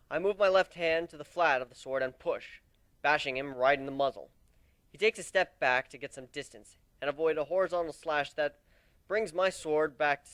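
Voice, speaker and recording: A man reads a fantasy story aloud as its narrator, in an amateur dramatic style. His voice is slightly high, and the recording is dry with no room sound. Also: a bit bright, no echo. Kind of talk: amateur dramatic story reading, first-person.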